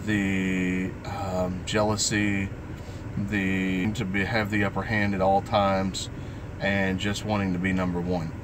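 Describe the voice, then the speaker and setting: A middle-aged man talking to his vlog audience in a halting, reflective, earnest way. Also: sits low, background noise. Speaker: vlogger. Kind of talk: personal vlog talk, live stream.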